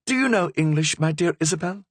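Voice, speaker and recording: A shrill, excitable young queen in a dry, close storybook reading. She is imperious yet giddy, with her exclamations leaping high. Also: fairly quick, slightly rough, dry recording. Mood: commanding.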